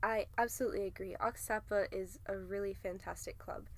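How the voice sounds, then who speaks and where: A woman's voice: mid-range voice, fairly even intonation, a little fast. A young woman co-hosting and narrating a student podcast in a casual, playful style. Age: young adult. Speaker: co-host and narrator.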